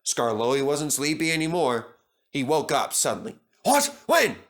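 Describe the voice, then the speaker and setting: A man's voice: mid-range voice, normal speed, a bit bright. A man reading a scripted children's story as narrator.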